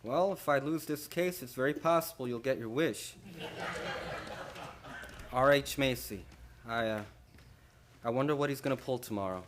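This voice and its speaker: An actor playing a harried, indignant prosecutor in a radio play, speaking emphatically. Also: male voice, mid-range voice, moderate pace, long pauses, background noise, lightly acted. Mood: exasperated. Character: harried, exasperated male prosecutor. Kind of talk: stage-like radio play acting.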